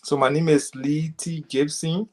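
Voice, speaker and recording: A young man from Liberia, a graduate student, speaking as a guest on a panel. He talks in a polite, measured way, with some "uh" fillers. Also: fairly high pitch, steady tone, darkish tone. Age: young adult. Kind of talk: formal greeting and self-introduction.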